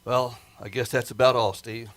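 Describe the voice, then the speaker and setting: A man's voice: medium pitch, level tone, normal speed, fairly bright tone, natural voice. A man playing a careful, insistent rocket project chief giving instructions in a retro sci-fi radio play. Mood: concerned. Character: gruff, careful male rocket project chief briefing his pilot.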